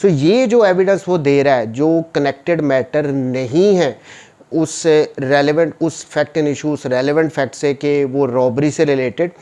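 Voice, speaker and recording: A man's voice: fairly high pitch, unhurried, warm tone. A man with a Pakistani accent lecturing on written rules, explaining them in a didactic, informal way and rephrasing points simply. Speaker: law lecturer.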